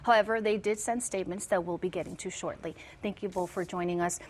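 A woman's voice: lowish voice, fairly even intonation. Woman news host asking questions. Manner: brisk, scripted questions. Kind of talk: news interview.

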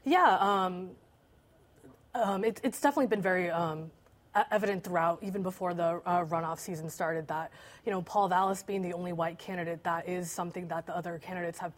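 A woman's voice: lowish voice, fairly even intonation, brisk. A woman newspaper reporter on a news panel, speaking hesitantly with frequent ums. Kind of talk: panel discussion.

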